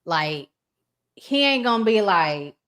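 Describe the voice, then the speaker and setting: A woman's voice: medium pitch, big pitch swings, takes it slowly, fairly bright tone. A woman hosting a relationship talk show, speaking informally in casual conversation. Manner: informal, colloquial. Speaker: host of a relationship discussion show.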